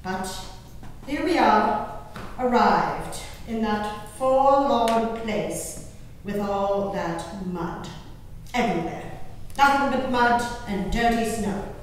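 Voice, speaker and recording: A woman playing a genteel, dramatic English gentlewoman diarist in a declamatory stage reading, stretching out her emphatic phrases. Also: mid-range voice, slow pace, lightly acted. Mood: appalled, indignant. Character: genteel, dramatic English gentlewoman diarist, easily appalled, enraptured by wild nature. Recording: echoey hall from far off, faint noise.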